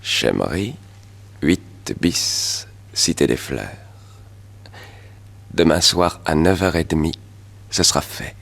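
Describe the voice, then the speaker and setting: An actor in a 1960s French radio drama playing a sinister, gloating hired killer who speaks with slow, savouring menace. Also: male voice, a bass voice, big pitch swings, vocal fry, a bit bright, a little hiss or hum, heavily acted. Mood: cold, businesslike.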